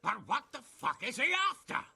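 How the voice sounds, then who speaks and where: An actor playing a hot-tempered, foul-mouthed lord in a video game, gravelly and raspy. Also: male voice, high-pitched, very animated, fairly quick, sharp tone, full character voice. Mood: demanding, incredulous. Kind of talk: video game cutscene acting.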